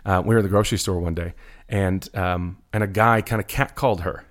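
A middle-aged pastor with a fairly low voice, talking earnestly in casual conversation. He leans toward preaching and keeps checking in with "right?" to his listener. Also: fairly even intonation, brisk. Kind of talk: conversational podcast talk.

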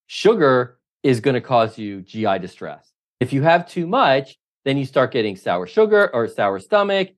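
A middle-aged man, a triathlon coach, answering interview questions in an emphatic, opinionated way, often running through lists. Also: medium pitch. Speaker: triathlon coach, podcast guest.

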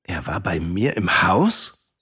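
A man's voice: sits low, very animated, slow pace, bright, forceful tone, slightly rough, heavily acted. A man playing a guarded uncle who mentors children, in a children's audio drama. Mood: uneasy, stiffly polite.

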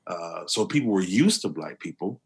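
A man with a deep voice, speaking earnestly as an interview guest, often restarting his sentences. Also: sing-song, moderate pace, gravelly, husky. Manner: earnest, with many restarts and fillers. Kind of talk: conversational interview.

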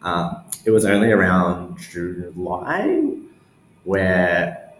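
Low-voiced man in a roomy space. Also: very animated, extremely slow. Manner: hesitant, meandering, heavy use of "like". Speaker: interview guest, newsletter founder. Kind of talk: casual interview answers. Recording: roomy echo with faint background noise.

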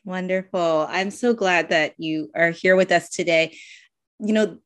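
A woman's voice: lowish voice, measured pace. A woman hosting a podcast interview, enthusiastic and hesitant, with frequent fillers. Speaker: podcast host.

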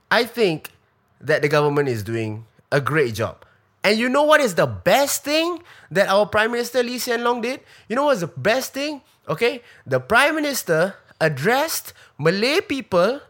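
A man's voice: very high voice, pushed and bright. A man in his thirties with a Singaporean English accent, talking off the cuff in a live-stream Q&A. He rambles with stacked fillers and restarts and swears casually. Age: 30s. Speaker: comedian.

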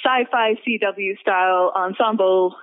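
A woman speaks casually as an interview guest, chatty and a little giggly in a self-deprecating way. Her voice sits at a medium pitch. It comes through a thin, phone-like call line. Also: unhurried. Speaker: guest, audio drama writer and producer. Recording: tinny narrow phone-like line.

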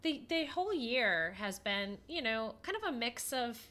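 A woman co-hosting a podcast in casual chat, stuffed up with a head cold so she sounds a little nasal, talking with plenty of fillers and pauses. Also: fairly high pitch, big pitch swings, pushed and bright. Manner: chatty, with many fillers and pauses. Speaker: podcast co-host.

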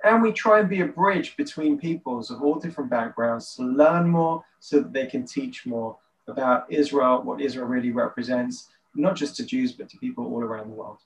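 A man's voice: medium pitch, moderate pace, few pauses, far from the mic, poor audio. A man, a nonprofit director explaining his organization as a podcast guest. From United Kingdom. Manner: articulate, steady, with filler "uh". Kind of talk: interview answer.

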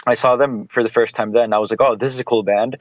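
A man's voice: medium pitch, fairly even intonation, fast talker, no room sound, muffled recording. A man talking casually as a podcast guest, rambling with many fillers.

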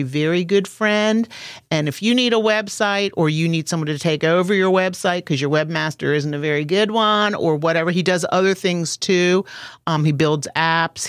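A woman's voice: sits low, no room sound, studio quality. A woman hosting a podcast, chatting in an enthusiastic, rambling way with friendly asides. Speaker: podcast host. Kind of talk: casual chat.

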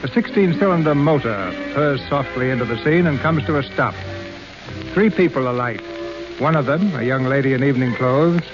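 A man's voice: medium pitch, normal speed, muffled recording, music in the background. A man presenting a radio play as host and announcer, reading scripted narration in a formal, florid 1930s style. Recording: band-limited 1930s radio broadcast.

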